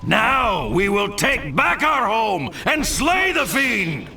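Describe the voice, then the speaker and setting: An actor playing a proud, stalwart dwarf warrior in a fantasy game, speaking in an emphatic, battle-ready way. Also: male voice, high-pitched, very animated, slow, roomy sound, distant mic, music in the background, exaggerated voice. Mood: rousing, indignant. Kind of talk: fantasy game unit and cutscene acting.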